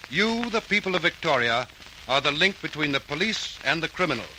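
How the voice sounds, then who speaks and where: Male radio crime narrator, old-time formal style, piercingly bright, slightly hissy recording. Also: a little high, sing-song, poor audio. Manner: formal, earnest, measured.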